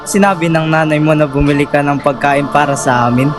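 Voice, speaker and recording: A man's voice: fairly high pitch, fairly even intonation, brisk, music in the background, lightly acted. A man playing a schoolgirl's father in an amateur radio drama. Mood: insistent. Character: father of a schoolgirl, giving orders and concerned.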